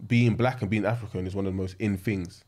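A young man with a London accent, a podcast co-host making his points in fast, slangy casual talk. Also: on the low side, steady tone, darkish tone. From England.

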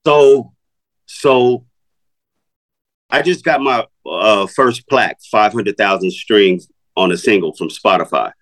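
A man's voice: on the low side, takes it slowly, a bit bright, rough recording. A middle-aged man, a rapper, talking casually in an interview, laid-back, swearing freely and filling his talk with "you know" and "man.".

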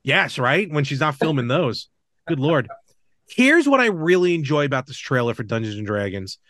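A man's voice: medium pitch, big pitch swings, normal speed, a bit bright, no room sound, studio quality. A middle-aged man hosting a casual movie podcast, chatting in a rambling way with conversational asides. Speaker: podcast host.

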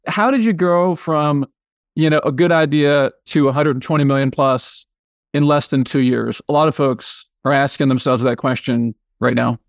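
A man's voice: a little high, moderate pace, no room sound, clean studio sound. A man hosting a podcast interview, chatty and loose, often restarting phrases and checking with tag questions. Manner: casual, hesitant, many restarts and tag questions. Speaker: podcast host. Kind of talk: casual interview chat.

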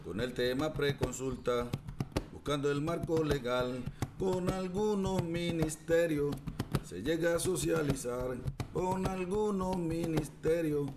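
Radio drama old village singer, played by a man: slow sung verses, higher voice, noisy recording. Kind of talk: sung folk verses with repeated lines.

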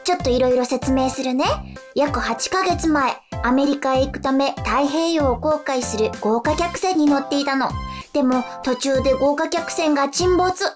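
High-pitched, exasperated teenage castaway girl played by an actress. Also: moderate pace, close to an everyday voice. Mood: chatty, exasperated. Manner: blunt, complaining, chatty asides. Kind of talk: radio drama acting. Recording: music behind the voice.

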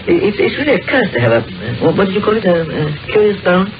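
A musing, expressive doctor, vintage radio. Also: male voice, high voice. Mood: musing, wry. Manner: musing, storytelling, deliberate phrasing. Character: imaginative, musing, dryly amused small-town doctor, a man. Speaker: actor in a radio mystery drama. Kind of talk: old-time radio drama acting. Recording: muffled vintage radio recording with music.

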